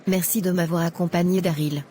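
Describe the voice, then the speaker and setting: Woman doing a young fiancée, mellow lowish voice, gentle, light hiss behind. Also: fairly even intonation, a little fast, close to an everyday voice.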